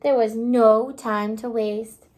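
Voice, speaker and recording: A woman kindergarten teacher reading a story aloud to young children, expressive and pausing to prompt them with questions. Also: a little high.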